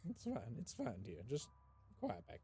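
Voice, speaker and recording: A woman's voice: mid-range voice, animated, moderate pace, darkish tone, close to an everyday voice. Woman playing a doting old granny. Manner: cooing and coddling. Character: doting old grandma, fussy and sweet.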